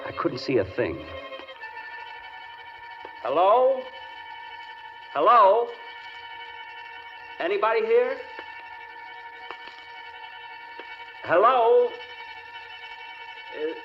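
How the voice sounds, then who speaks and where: An American actor playing a mild, nervous, henpecked piano tuner in 1940s radio drama, hesitant and plaintive. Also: male voice, high-pitched, big pitch swings, slow pace, long pauses, full character voice. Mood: frightened calling. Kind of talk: 1940s radio drama acting with first-person narration. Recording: dull vintage radio recording, music behind.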